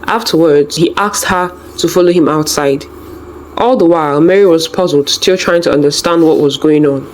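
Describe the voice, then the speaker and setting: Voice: medium pitch, normal speed, a little hiss or hum, poor audio. A storyteller reading a scripted folktale narration.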